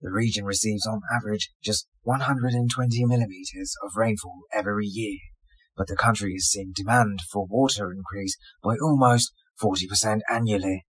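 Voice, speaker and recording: A man giving a scripted, formal read-aloud as a model reader, with measured, careful phrasing. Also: fairly low pitch, fairly even intonation, a bit bright, breathy edge, poor audio. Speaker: sample reader for English test practice.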